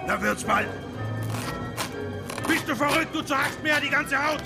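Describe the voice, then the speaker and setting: An actor in a radio play for children playing a cruel, domineering tanner boss who barks orders and threats. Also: male voice, extremely high pitch, normal speed, vocal fry, over loud music. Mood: angry, threatening.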